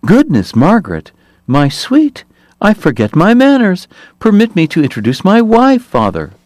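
A man doing a big pompous politician with a high voice. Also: huge pitch swings, moderate pace, a little mellow, no echo. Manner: smooth, pompous speechifying. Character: huge male politician, falsely sincere, high-voiced.

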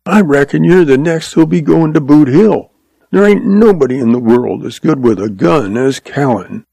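A man reading aloud, voicing a sullen, boastful boy in a raised pitch. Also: expressive, normal speed, creaky, dark tone, dry recording. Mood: sulky.

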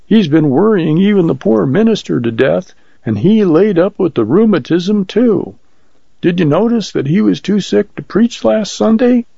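Fretful, gossipy farm wife for a storybook, played by a man, raised pitch, dull close recording. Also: animated, normal speed, vocal fry, warm tone, no room sound, exaggerated voice. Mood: anxious, fussing. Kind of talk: audiobook character voice.

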